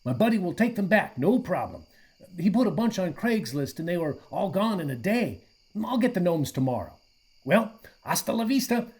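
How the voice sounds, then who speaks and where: A man playing a friendly, laid-back dude with breezy slang in a radio play, his voice husky. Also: high-pitched, big pitch swings, moderate pace, a little mellow, full character voice. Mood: casual, breezy.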